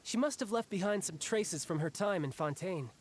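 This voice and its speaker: A cocky, restless young warrior from an anime game. His voice is on the higher side for a man, boyish and casual with a wry edge. There is faint noise in the background. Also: lively intonation, normal speed. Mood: determined. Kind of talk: anime video game voice acting.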